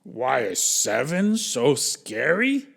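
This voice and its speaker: A man voicing a kindly, jokey father music note in a children's story, explaining things deliberately. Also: medium pitch, big pitch swings, gravelly, fairly bright tone, full character voice. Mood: joking.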